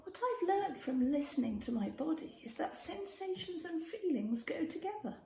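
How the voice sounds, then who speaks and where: A woman reading a children's story aloud in an expressive way, speaking directly to young listeners and prompting them. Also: high voice. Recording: roomy echo with faint background noise.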